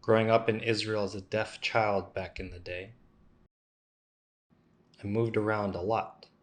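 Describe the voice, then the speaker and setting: A man voicing interview answers for a signing guest, in a measured, unhurried delivery. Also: fairly low pitch, flat delivery.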